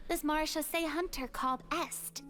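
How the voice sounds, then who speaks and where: A woman playing an excitable, curious young female adventurer in a video game, expressive and wide-eyed. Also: high voice, normal speed, soft background music. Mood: admiring.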